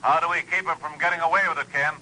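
An actor playing a probing male intelligence chief in a 1950s radio drama, brisk and questioning. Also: on the high side, fairly even intonation, bright, forceful tone, low-quality recording. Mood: concerned. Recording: vintage radio broadcast recording.

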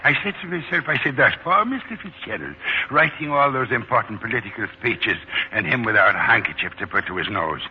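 An actor playing a devoted, solemnly deferential manservant, formal and earnest, in 1940s radio style. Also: male voice, medium pitch, lively intonation, fairly quick, close to an everyday voice. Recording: muffled, noisy 1940s radio recording.

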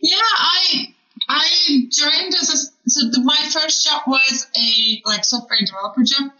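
High, bright woman with a Turkish accent. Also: unhurried, roomy sound, muffled recording. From Turkey. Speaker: podcast guest, community program manager. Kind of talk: casual interview storytelling.

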